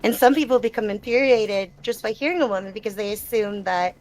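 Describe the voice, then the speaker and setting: A woman's voice: mid-range voice, brisk, a little mellow, rough recording. A woman gamer answering questions in a casual live Q&A, talking in a reflective, explanatory and conversational way. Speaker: gamer being interviewed. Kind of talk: live Q&A, casual personal talk.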